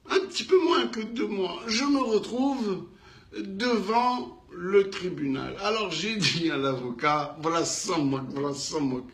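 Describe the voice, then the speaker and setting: A man with a North African French accent telling a business anecdote in an animated way, acting out the dialogue with emphatic repetitions. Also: sits high, reverberant. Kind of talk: animated storytelling.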